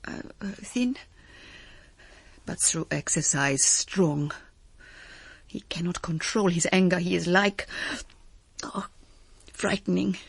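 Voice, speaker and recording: Slow, hesitant woman. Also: fairly low pitch, gravelly, slightly rough, dry recording, exaggerated voice. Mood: uneasy, halting. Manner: hesitant, halting, short phrases. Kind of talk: radio drama acting.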